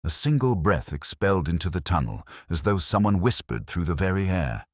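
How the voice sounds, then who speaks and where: A man narrates a detective tale with an extremely low voice. His pitch moves expressively through measured, dramatic phrases, and the sound is dry and close. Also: big pitch swings, no room sound. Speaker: audiobook narrator. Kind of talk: scripted first-person detective story narration.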